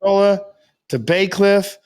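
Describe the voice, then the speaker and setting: A man's voice: sits high, moderate pace, darkish tone. A man co-hosting a podcast in casual, joking conversation. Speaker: podcast co-host. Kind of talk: casual chat with comedic banter.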